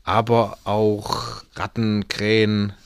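A man's voice: fairly low pitch, completely flat delivery, slow, creaky, clean tone, dry recording. A man working as a falconer, giving casual, plain-spoken and playful explanations to children.

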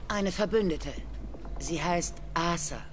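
A woman's voice: fairly low pitch, takes it slowly. A hardened female soldier from a video game. She speaks in a clipped, dutiful military way, steely and resolute, over some background noise. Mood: grave, guarded. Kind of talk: dramatic video game dialogue.

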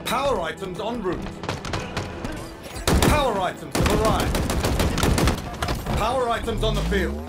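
An actor playing a polite, dry-witted butler of an AI assistant in a video game. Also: male voice, sits high, lively intonation, unhurried, long pauses. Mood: composed. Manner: polite, dry, droll understatement. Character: male AI combat assistant, refined dry butler. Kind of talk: video game announcer lines. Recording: loud game music and gunfire behind. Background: loud music, gunfire.

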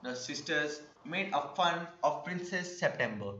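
Young male narrator, Indian accent, slightly high voice, reading a script over a roomy, dull call. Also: animated, measured pace, heavy background noise. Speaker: narrator of student skit. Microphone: video call.

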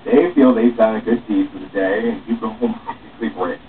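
A man's voice: on the low side, fairly even intonation, brisk, natural voice. Man reading as a cocky streetwise slacker, tinny sound. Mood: boastful, coaxing. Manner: casual, boastful, coaxing. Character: brash, cocky male veteran panhandler, streetwise slacker. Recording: muffled phone-like webcam, distant mic, faint hiss.

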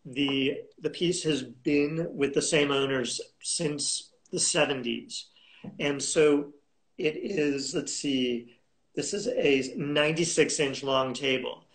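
A man in his thirties talking as a guest in a video call interview, unhurried and halting with many fillers and restarts. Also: a little high, rough recording. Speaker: auction house specialist, guest. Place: home room indoors. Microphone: video call webcam.

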